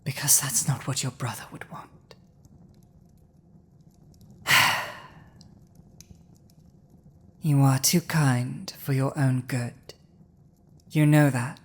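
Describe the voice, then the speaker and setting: A woman voicing a proud, domineering female dragon with an awkwardly tender side, in a dramatic ASMR roleplay, speaking deliberately with a husky, breathy voice. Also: low-pitched. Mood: soft, tender. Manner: slow, deliberate, imperious, with long pauses.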